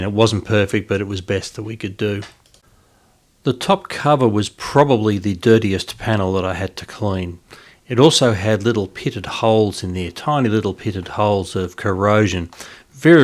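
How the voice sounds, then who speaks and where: A middle-aged Australian man giving an informal narrated walkthrough as a hobbyist, explaining in a measured, hesitant way. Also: on the low side. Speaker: hobbyist presenter.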